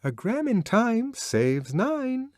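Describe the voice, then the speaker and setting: A conventional young woman, chirpy one moment and pleading the next, as a male audiobook reader puts her on. Her voice leaps up high and swings widely. The sound is dry and close. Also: big pitch swings, no echo, heavily acted. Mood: cheerful, insistent. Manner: pleading, high and bright, quickly upset. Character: young woman, cheerful, conventional, easily distressed. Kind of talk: audiobook character voice.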